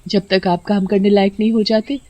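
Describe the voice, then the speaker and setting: A woman's voice: mid-range voice, level tone, fairly quick, dark tone, close to an everyday voice. A woman voicing a worried, supportive wife in a dramatised story, anxious yet encouraging. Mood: reassuring.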